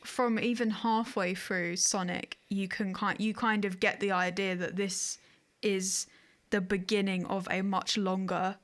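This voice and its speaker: A woman's voice: medium pitch, little pitch movement, measured pace. A woman talking casually as the co-host of a podcast about games and films.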